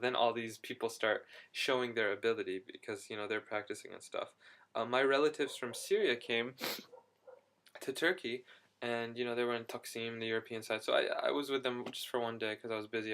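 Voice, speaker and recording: A young man in a casual video diary, talking excitedly and rambling with quick restarts. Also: mid-range voice. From United States. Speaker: vlogger.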